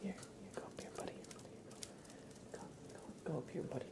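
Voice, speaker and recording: A man hosting an ASMR livestream, chatting softly and playfully in a breathy, often whispered voice, repeating short phrases as if to a pet. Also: moderate pace. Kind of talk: ASMR, casual chat with a pet and viewers.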